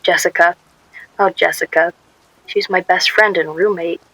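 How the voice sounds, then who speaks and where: A woman's voice: on the low side, steady tone, measured pace, fairly bright tone, breathy edge, no echo, exaggerated voice, poor audio. A woman playing an anxious, guarded young shop worker, narrating a radio drama in a wry, confessional way. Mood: wry, uneasy narration.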